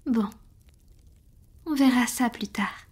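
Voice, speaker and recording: Woman playing a breathy, slow-spoken, loving vampire lady. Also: a little high. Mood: tender, generous. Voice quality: breathy, often whispering. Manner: slow, gentle, affectionate, with pauses. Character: tender, devoted female vampire in love with a mortal. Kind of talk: French roleplay audio, soft intimate ASMR acting.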